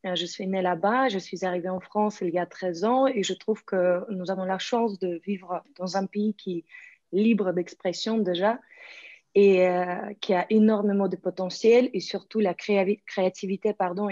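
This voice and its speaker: A woman from Kazakhstan with a dark, mellow voice answers politely and steadily, with fillers. The call audio is dry and muffled. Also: medium pitch, fairly even intonation, moderate pace, no echo. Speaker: guest artist. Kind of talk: online press conference answers. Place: home video call. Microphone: video call mic.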